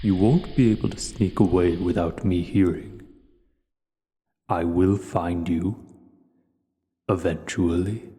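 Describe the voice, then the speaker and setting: A man playing a huge, ancient, menacing dragon in an audio roleplay, speaking slowly and deliberately in a patient, taunting way. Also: deep voice, fairly even intonation, dark tone. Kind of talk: scripted audio roleplay acting. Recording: cavernous long reverb, some background noise.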